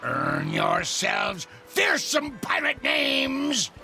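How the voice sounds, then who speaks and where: Gravelly, blustering ghost pirate captain. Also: male voice, very high-pitched, wildly swooping pitch, drawn-out words, faint music behind, heavily acted. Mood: commanding. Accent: stage pirate. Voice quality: gravelly, shouty. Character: blustering, self-pitying old male ghost pirate captain. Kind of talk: animated game cutscene acting.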